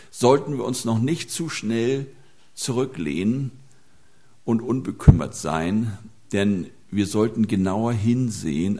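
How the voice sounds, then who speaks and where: A man's voice: mid-range voice, a little mellow. A man preaching a sermon to a gathering, telling anecdotes with small hesitations.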